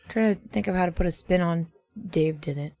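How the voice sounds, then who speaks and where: A woman's voice: fairly low pitch, fairly quick, creaky, dark tone, no echo. A woman co-hosting a comedy podcast, improvising casually with joking, profane banter. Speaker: podcast co-host.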